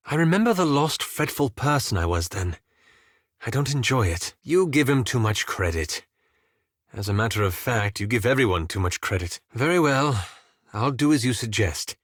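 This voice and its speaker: An actor voicing a prim, bookish elf wizard in a fantasy video game, speaking formally and precisely with dry sarcasm. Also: male voice, mid-range voice, sing-song, normal speed, slightly rough, no echo. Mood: resigned, reflective.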